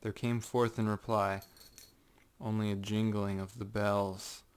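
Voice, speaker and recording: A man playing a cold, vengeful gentleman who narrates a gothic tale in a theatrical, deliberate way that can swell in force. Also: lowish voice, little pitch movement, smooth, close to an everyday voice. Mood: cold, grim. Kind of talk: dramatic gothic audiobook narration.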